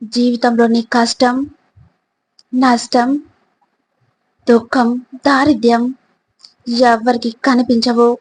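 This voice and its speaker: A woman with a slightly high voice delivers scripted motivational narration. Her delivery is very slow and deliberate, broken into short phrases. The pitch stays quite flat as she speaks straight to the listener. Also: low-quality recording. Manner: deliberate, pausing between short phrases, addressing the listener directly.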